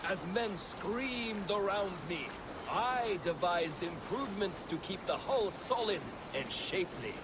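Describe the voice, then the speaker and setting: High-voiced boastful Viking storyteller. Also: male voice, lively intonation, unhurried. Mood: boastful, proud. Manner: pompous, theatrical storytelling. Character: boastful Viking storyteller, vain self-proclaimed genius shipbuilder. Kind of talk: dramatic video game acting. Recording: loud water and boat noise behind. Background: water and creaking boat ambience.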